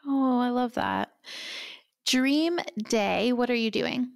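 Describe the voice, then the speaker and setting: A woman's voice: fairly high pitch, expressive, slow, vocal fry, no echo, studio quality. A woman hosting a podcast, chatty and enthusiastic as she interviews a guest. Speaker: podcast host.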